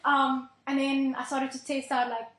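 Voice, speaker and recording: Earnest young woman singer, slightly high voice. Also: flat delivery, normal speed, fairly bright tone, echoey room. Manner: earnest, storytelling, filler-heavy. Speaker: singer, guest. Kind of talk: casual interview talk.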